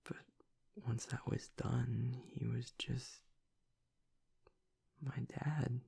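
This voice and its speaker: Casting: male actor as tough young werewolf boyfriend in audio roleplay, grief-stricken and choked up, very husky half-whisper, slow and halting delivery. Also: mid-range voice, little pitch movement, dark tone, exaggerated voice. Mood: reverent, sorrowful. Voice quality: breathy, gravelly near-whisper. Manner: halting, hesitant, confiding. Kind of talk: intimate audio roleplay monologue.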